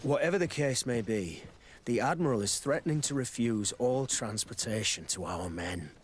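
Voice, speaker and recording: Man as a grave military quartermaster, mid-pitched. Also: expressive, moderate pace, slight background noise, close to an everyday voice. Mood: grave, concerned. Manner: serious, formal. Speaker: game character actor. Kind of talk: dramatic video game dialogue.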